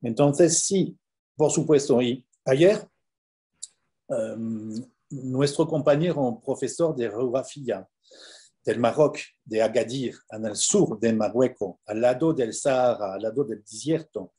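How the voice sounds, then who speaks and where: A man with a French accent speaking Spanish as a conference presenter on a video call, halting with many repeated words and fillers. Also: medium pitch, mellow. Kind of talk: academic Q&A over video call.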